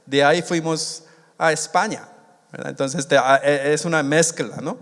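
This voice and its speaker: A man born in Korea and raised in the United States, preaching a sermon in a halting way, with many restarts, and stretching out the key words. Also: a little high, fairly even intonation, fairly bright tone. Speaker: missionary preacher. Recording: long hall reverb.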